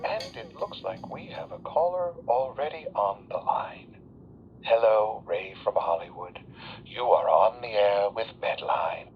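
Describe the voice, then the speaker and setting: An actor playing a formal, patronizing doctor on a radio call-in show, heard through lo-fi radio sound. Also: male voice, on the low side, expressive, measured pace, faint music behind. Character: prim, composed male radio call-in doctor.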